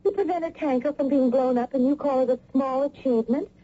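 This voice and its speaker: An actress playing a worried, inquisitive middle-aged wife in a radio mystery drama. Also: female voice, high-pitched, fast, warm tone, natural voice. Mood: concerned, pressing. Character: lawyer's wife, inquisitive and worried, mother of a twelve-year-old. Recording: muffled old radio broadcast.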